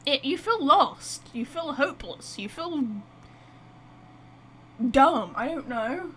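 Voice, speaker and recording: A woman gives casual live commentary on a game in a fairly high voice. She rambles bluntly and gets excitable. There is faint noise in the background. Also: slow pace. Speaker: game streamer.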